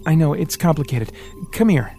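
A guilt-ridden young man from a spoken storybook, voiced by a male reader who lifts his voice well above his own. He sounds strained and trembling, pleading, with soft music underneath. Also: on the high side, expressive, normal speed, pitched above the performer's own voice. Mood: urgent.

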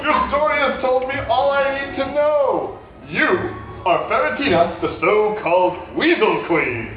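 A man playing a pompous, dim heroic gentleman adventurer in a comedic radio play, with a bombastic delivery. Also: very high-pitched, sing-song, unhurried, heavily acted. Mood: earnest, grandiose. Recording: dull, roomy, distant, noisy recording.

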